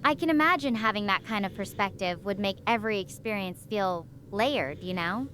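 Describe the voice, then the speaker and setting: A synthetic woman voicing a lively stranded researcher. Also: medium pitch, normal speed, slight background noise. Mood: curious. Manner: chatty, curious, asks many questions. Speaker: astrophysics researcher.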